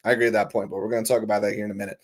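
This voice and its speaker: A man with a hoarse, raspy voice, talking casually on a live stream as host, reading viewer comments and calling his viewers folks. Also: on the low side, level tone, racing. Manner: chatty, curses casually, calls viewers folks.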